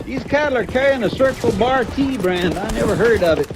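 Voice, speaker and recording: A man's voice: very high-pitched, expressive, brisk. An American man playing a loyal, eager, deferential deputy sidekick in an old radio western, with a rural drawl. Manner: deferential, folksy, plain-spoken. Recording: noisy old radio drama recording.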